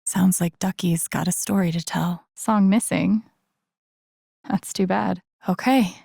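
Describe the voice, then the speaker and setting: A woman's voice: fairly low pitch, fairly even intonation, a little slow, vocal fry, warm tone, husky, dry recording, studio quality, exaggerated voice. An actress playing a troubled, earnest young woman with a wry, self-doubting streak in a naturalistic video game performance, understated and introspective. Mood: pensive, resolved. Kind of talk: naturalistic video game voice acting, inner monologue.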